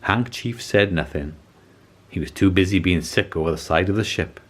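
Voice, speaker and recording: A man reading a children's story aloud as an expressive narrator. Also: deep voice, normal speed. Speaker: storybook reader.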